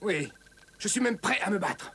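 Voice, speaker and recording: An actor playing a brave, earnest young Saxon knight with a dramatic delivery in a French cartoon dub. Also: male voice, sits high, animated, normal speed, sharp tone, husky, exaggerated voice. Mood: determined.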